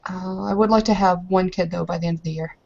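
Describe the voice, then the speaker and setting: A woman's voice: on the low side, steady tone, fast talker, vocal fry, darkish tone, muffled recording. A woman playing a frank, insistent young newlywed wife in a roleplaying game. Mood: frank, determined. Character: frank, demanding young newlywed noblewoman planning motherhood.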